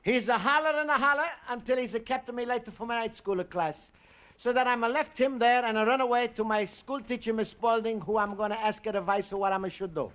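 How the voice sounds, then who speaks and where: An actor playing a cheerful, naive Italian immigrant in a 1950s radio comedy, speaking accented broken English with extra vowels. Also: male voice, extremely high pitch, fairly quick, a bit bright. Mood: exasperated. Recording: noisy old radio recording with clicks.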